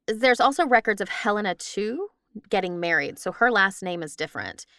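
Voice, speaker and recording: A woman's voice: medium pitch, expressive, normal speed, sharp tone, no room sound, studio quality. A woman podcast host casually talking through her research in conversation. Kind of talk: casual chat, explaining research.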